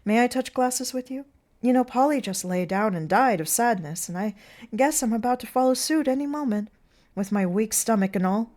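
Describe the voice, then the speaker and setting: A woman's voice: fairly high pitch, lively intonation, moderate pace, a little mellow, voice pushed up, natural voice. A woman voicing a self-pitying comic circus clown with a plaintive manner in a stage play reading. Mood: mock mournful.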